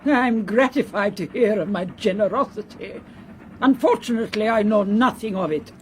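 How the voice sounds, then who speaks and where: An actress playing a haughty, sardonic European baroness in a radio drama, with formal speech. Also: female voice, mid-range voice, normal speed, slight background noise, natural voice.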